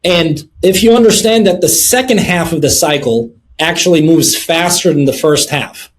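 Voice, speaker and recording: A middle-aged man from Lebanon answering interview questions in a measured way, with many filler sounds between phrases. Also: a little high, a little hiss or hum. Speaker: guest, precious metals dealer.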